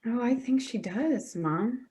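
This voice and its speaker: A woman's voice: on the high side, lively intonation, slow pace, dark tone. A woman acting in a staged play reading as a hurt adult daughter, insistent and accusatory. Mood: hurt, angry. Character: Danielle, wounded, accusing adult daughter confronting betrayal.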